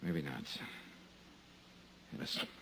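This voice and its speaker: A man's voice: slow, a bit bright, slight background noise. An actor playing a terse, hard-boiled insurance investigator who narrates his own case in a 1950s radio drama.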